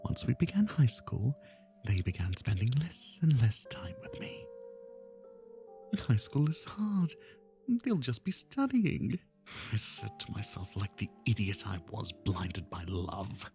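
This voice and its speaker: A man playing an obsessive, lovesick and menacing kidnapper in a roleplay ASMR monologue, with a breathy voice that often drops into whispers and theatrical, lingering emphasis. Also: lowish voice, huge pitch swings, slow, a little mellow, faint music behind, heavily acted. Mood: wistful, bitter.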